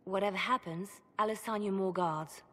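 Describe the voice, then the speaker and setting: A woman plays a pragmatic spymaster in a fantasy game. She speaks directly and with cool insistence, at a middle female pitch. Long hall reverb trails her voice. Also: normal speed, fairly bright tone, lightly acted. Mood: insistent, assured. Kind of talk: scripted video game cutscene acting.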